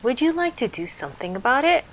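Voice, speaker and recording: A woman's voice: high-pitched, sing-song, quick pace, pitched above the performer's own voice. A woman reading a storybook as a stern, scolding old male hermit. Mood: measured, offering.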